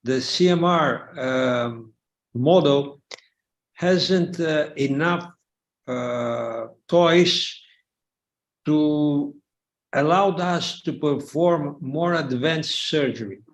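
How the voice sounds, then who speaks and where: A surgeon asking a question at a webinar, halting and searching for words. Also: male voice, fairly high pitch.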